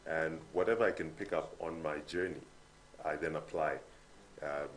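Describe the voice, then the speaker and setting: A Zambian man in his forties, a guest on a TV breakfast show, talking in a measured way, laughing often and repeating a word as he starts a sentence. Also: extremely low pitch, fairly even intonation, slight background noise, rough recording. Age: 40s. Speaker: guest, voiceover artist and MC. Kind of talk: casual interview chat. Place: TV studio.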